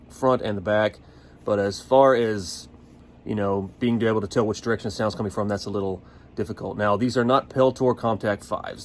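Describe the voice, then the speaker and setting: Man, American accent, lower-pitched voice, casual product review, folksy, explanatory, unscripted. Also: slight background noise.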